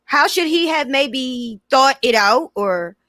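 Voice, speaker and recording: A woman's voice: sits high, animated, slow, sharp tone, no echo. Casual woman host, dry close sound. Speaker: host interviewing a guest. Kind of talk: casual chat interview.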